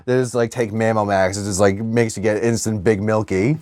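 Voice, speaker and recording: A man's voice: fairly low pitch, fairly even intonation, brisk, darkish tone, dry recording. A man co-hosting a podcast in casual comedic chat, rambling with heavy slang and swearing into a close microphone. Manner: heavily profane, slangy, rambling. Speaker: podcast co-host.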